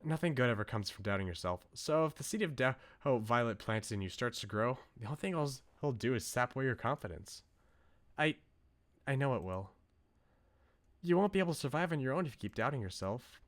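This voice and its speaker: A man's voice: medium pitch, animated, a little fast, close to an everyday voice. A man voicing an earnest, devoted young woman from a visual novel, reading her lines with emphasis. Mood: concerned, insistent. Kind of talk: reading visual novel dialogue aloud in character. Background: game music.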